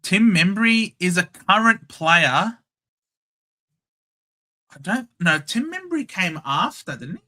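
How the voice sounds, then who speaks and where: A man's voice: high-pitched, very animated, takes it slowly, pauses often, sharp tone. An Australian man hosting a casual livestream, chatting, thinking aloud and reading out viewers' names. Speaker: livestream host.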